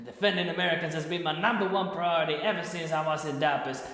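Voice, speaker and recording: Actor as an overeager, naive patriotic private; slightly high voice, earnest delivery. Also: male voice, steady tone, quick pace, sharp tone. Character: eager naive patriotic young American private.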